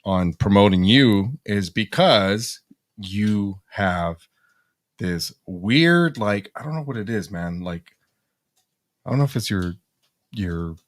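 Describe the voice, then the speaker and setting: A man talking casually as a podcast guest, slangy, with halting, informal phrasing. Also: fairly low pitch, lively intonation, no echo, studio quality. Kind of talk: casual chat.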